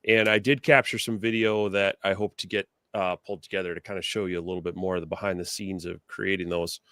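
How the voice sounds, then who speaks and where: Co-host, male, hoarse, rambling with fillers, low and resonant voice. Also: steady tone, quick pace, fairly bright tone, no room sound. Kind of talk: casual live-stream chat.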